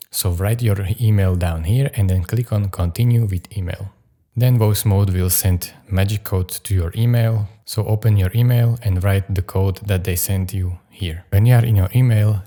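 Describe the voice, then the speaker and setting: A man hosting a software tutorial, narrating instructions step by step. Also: on the low side. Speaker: tutorial host.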